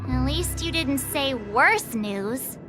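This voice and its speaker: A woman's voice: high voice, lively intonation, slow. A woman voicing a small, childlike, flippant creature companion in a video game. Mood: hopeful. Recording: music behind the voice.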